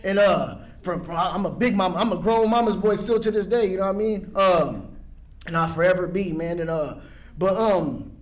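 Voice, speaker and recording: High-voiced man rambling through his story. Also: normal speed. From San Pedro, California. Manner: casual, rambling, many restarts and fillers. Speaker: recovery meeting speaker. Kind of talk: personal recovery story.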